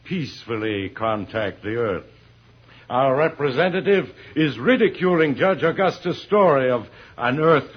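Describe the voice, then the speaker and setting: An actor playing an imperious, scheming villain ruler in an old radio serial, theatrical and threatening, with a husky voice. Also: male voice, mid-range voice. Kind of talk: 1950s radio serial acting. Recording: dull lo-fi old radio recording.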